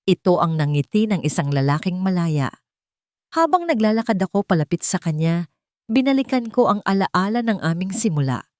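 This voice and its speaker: A woman's voice: lowish voice, sing-song, normal speed, a little mellow, no room sound, studio quality. A woman narrating a scripted first-person romance story.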